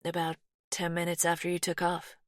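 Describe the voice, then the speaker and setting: Narrator voicing a soft-spoken, anxious young American woman; low voice, dry read. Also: completely flat delivery, brisk, no echo, natural voice. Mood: defensive, anxious. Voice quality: soft, firm.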